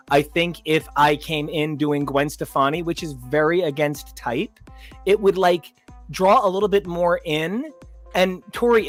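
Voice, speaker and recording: A man co-hosting a casual review chat, animated and big-voiced as he describes himself. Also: on the high side. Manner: animated, self-described big loud talker. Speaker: podcast co-host.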